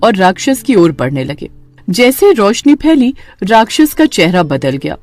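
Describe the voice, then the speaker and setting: Animated woman narrating a scary story. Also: medium pitch, unhurried, no echo, soft background music. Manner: dramatic storytelling. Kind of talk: scripted horror story narration.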